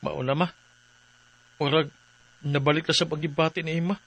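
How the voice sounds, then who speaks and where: A man's voice: fairly high pitch, fairly even intonation, normal speed, gravelly, a bit bright. A man acting a worried, weary husband in a radio drama, earnest and troubled. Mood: fretful. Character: worried, weary working husband pleading for his wife.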